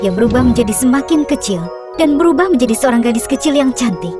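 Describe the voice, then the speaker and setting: A woman tells a children's fairy tale in a fairly high voice. Her scripted narration is measured and expressive, with music clearly playing behind it. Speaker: storyteller, narrator.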